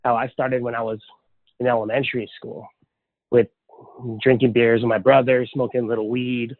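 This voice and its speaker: A man's voice: mid-range voice, flat delivery, moderate pace, no room sound. A man from Hawaii, a podcast guest, telling his life story in a candid, plainspoken way. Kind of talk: casual interview storytelling. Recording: tinny, dry phone-like line.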